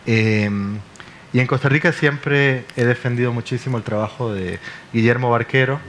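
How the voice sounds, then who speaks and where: A man speaking on a literature panel in a measured way, often checking in with tag questions. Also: mid-range voice, a bit bright, a little hiss or hum.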